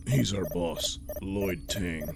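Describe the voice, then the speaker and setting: A man voicing a gravelly, laconic spaceship crewmate in a comic sci-fi audio serial. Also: sits low, takes it slowly, music in the background, full character voice. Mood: matter-of-fact.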